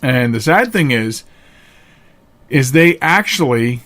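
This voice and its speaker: A middle-aged man hosting a podcast, giving casual opinionated commentary in a halting, emphatic way. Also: medium pitch, animated, a bit bright. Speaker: podcast host.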